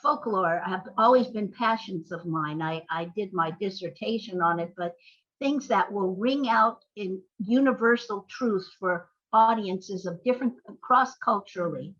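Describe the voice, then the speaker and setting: An older woman with an American accent talks reflectively as a guest in an interview. She is measured and articulate, with a medium-pitched voice. The recording is somewhat dull and lo-fi. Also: fairly bright tone. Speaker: guest, playwright. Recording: dull, lo-fi sound.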